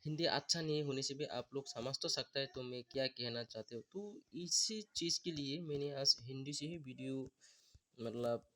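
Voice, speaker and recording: A man who is not a native Hindi speaker gives a step-by-step beat-making tutorial, talking in a halting, apologetic way. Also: medium pitch. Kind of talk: music production tutorial. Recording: beats and instrument clips playing loud.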